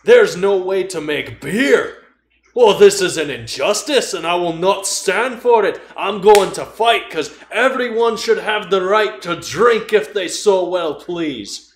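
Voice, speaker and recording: Man putting on an indignant high-pitched brawler. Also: animated, slow pace. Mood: indignant, rousing. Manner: boastful, declaiming. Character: indignant hard-drinking Scottish brawler, a tabletop game character. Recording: roomy echo, audible background noise.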